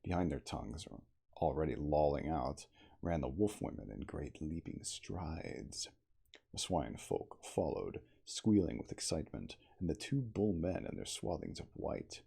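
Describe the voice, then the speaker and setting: Very low male voice, husky and creaky, ASMR audiobook reader, deliberate literary phrasing, expressive intonation. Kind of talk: hushed ASMR dramatic story reading.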